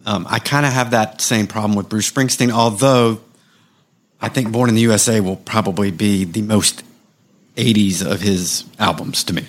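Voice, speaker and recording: A man's voice: fairly low pitch, steady tone, moderate pace. A man co-hosting a music podcast, chatting casually and thinking aloud. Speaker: podcast co-host. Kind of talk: casual chat.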